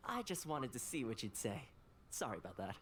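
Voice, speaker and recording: Man playing a lively, easygoing young guide. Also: medium pitch, normal speed, a crowd in the background, close to an everyday voice. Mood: playful. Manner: courteous, playful, explanatory. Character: friendly, easygoing young male fixer and local guide. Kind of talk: video game voice acting.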